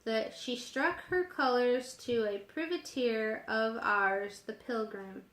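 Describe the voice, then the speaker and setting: Woman as a scholarly, slow-talking male doctor. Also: on the high side, fairly bright tone, roomy sound. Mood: explaining. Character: busy scholarly male doctor, proud of his library.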